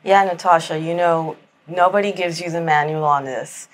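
A woman from Miami, a writer appearing as a podcast guest, speaking candidly and reflectively with soft fillers. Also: fairly low pitch, steady tone, normal speed. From Miami, United States. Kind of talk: candid conversational interview.

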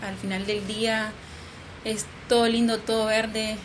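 A woman's voice: a little high, little pitch movement, a little slow. A woman hosting an interview, asking questions in a friendly way. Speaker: interview host. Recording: noisy background ambience.

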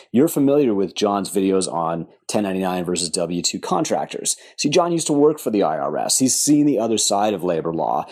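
An enthusiastic man reads a sponsor spot, leaning hard on his points. His voice is low and a little husky. His pitch swings widely as he talks. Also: a little mellow. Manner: enthusiastic, emphatic. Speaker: podcast regular reading the sponsor message. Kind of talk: sponsor read.

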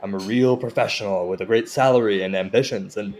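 An actor playing an insecure, self-deprecating comedian in a naturalistic audio drama, halting, confessional and profane. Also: male voice, lowish voice, a little mellow, close to an everyday voice. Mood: ashamed, bitter. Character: insecure, self-deprecating Indian-American stand-up comedian, torn by shame.

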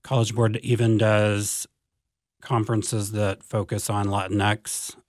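A man in his forties talks about his work at a deliberate, unhurried pace, pausing on ums and restarting his phrases. His voice sits on the low side. The sound is dry and close. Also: flat delivery, no echo. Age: 40s. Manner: slow, measured, with many ums and restarts. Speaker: district advanced academics coordinator. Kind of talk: podcast interview guest.

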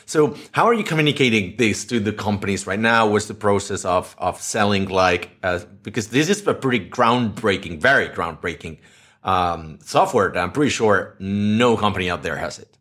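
Low-voiced chatty male podcast host. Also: expressive, moderate pace, a bit bright. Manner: chatty, many restarts and fillers. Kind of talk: casual interview. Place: Madrid, Spain.

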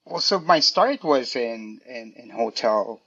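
A man's voice: medium pitch, expressive, slow, pushed and bright, slightly rough, lo-fi sound. A man answering interview questions about his career, hesitant, with many fillers and restarts. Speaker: guest, design director.

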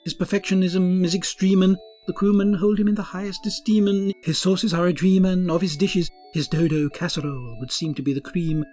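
A man's voice: high-pitched, steady tone, brisk, few pauses, mellow, soft background music, natural voice. A man playing a grand, pompous starship captain who speaks in courtly rhymes. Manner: pompous, rhyming, courtly speech.

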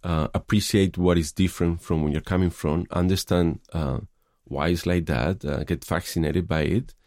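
A man's voice: low voice, steady tone, normal speed, a little mellow, no room sound, clean studio sound. A middle-aged man from Spain with a Spanish accent, answering interview questions thoughtfully, with many hesitations and fillers. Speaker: film director.

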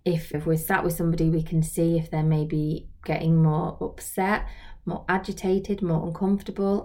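A woman with a soft-edged, mellow voice that sits a little low. She talks as a trainer giving an informal lesson, explaining things reflectively and often posing questions directly to the listener. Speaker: social work trainer and video host. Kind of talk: informal instructional talk to camera.

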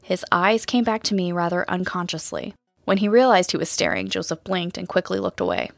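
A lowish female voice with a gravelly fry, set over faint music. She plays a wistful host of a supernatural radio show in an audio drama, delivered as scripted first-person storytelling. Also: a little fast, no room sound, clean studio sound. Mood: reflective.

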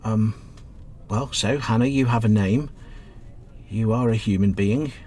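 An actor playing a chatty, good-hearted but easily exasperated British taxi driver in a radio drama. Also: male voice, lowish voice, measured pace, warm tone, slight background noise, natural voice. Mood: kindly, coaxing. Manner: chatty, colloquial, calls passenger love.

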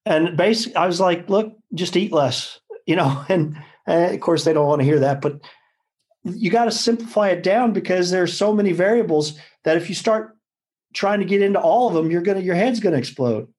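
A man's voice: high voice, a little fast, a little mellow. A man, a nutrition scientist explaining in a rambling, self-correcting conversational way. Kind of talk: conversational expert discussion.

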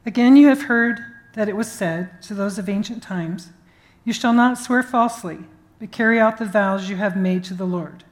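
A woman reading scripture aloud in a clear, earnest way. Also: mid-range voice, moderate pace, low-quality recording. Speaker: volunteer scripture reader.

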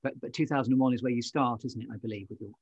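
Quick-talking male podcast host, husky. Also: medium pitch, fairly even intonation, darkish tone, no room sound, muffled recording. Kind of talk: interviewing, summarising the guest's story.

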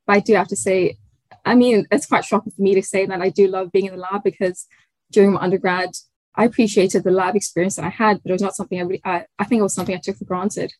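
A woman's voice: mid-range voice, fairly even intonation, fast, darkish tone, no room sound, rough recording. Young woman, research fellow guest, reflective and hesitant, dry close recording. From Tanzania. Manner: thoughtful, hesitant with fillers and restarts. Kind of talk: conversational interview.

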